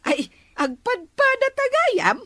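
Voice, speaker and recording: A bright, lively actress as a chatty Ilocano village neighbour, recorded dry. She takes her time, teasing and exclaiming in comedy drama style. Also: very high voice, slow pace, no echo, heavily acted. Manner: animated, given to exclamations.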